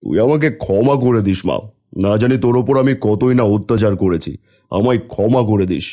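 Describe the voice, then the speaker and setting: A man's voice: lowish voice, lively intonation, a little fast, warm tone, soft background music. A man voicing a fatherly king in a cartoon fairy tale, theatrical and emphatic. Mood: remorseful.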